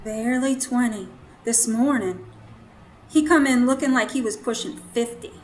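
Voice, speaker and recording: A woman's voice: on the high side, moderate pace, natural voice. A woman acting in a radio drama as a kindly, plainspoken boarding-house keeper with a folksy, sympathetic manner. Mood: somber.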